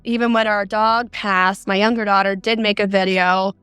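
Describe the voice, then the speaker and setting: A woman's voice: medium pitch, little pitch movement, moderate pace, a bit bright, clear, resonant tone, no room sound, faint music behind. A middle-aged woman telling her own story as an interview guest. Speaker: interview guest, mother recounting a scam. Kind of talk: recounting a personal experience in an interview.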